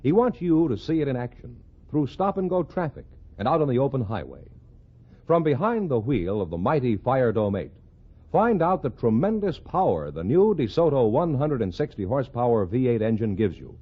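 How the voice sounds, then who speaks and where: A man's voice: medium pitch, big pitch swings, normal speed, husky. An American man reading a scripted 1950s radio advertisement as the announcer. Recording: dull vintage radio broadcast recording.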